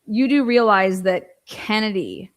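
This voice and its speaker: A woman's voice: mid-range voice, animated, unhurried. A woman giving informal true-crime commentary, reading from a transcript and breaking in with pointed, skeptical asides and rhetorical questions.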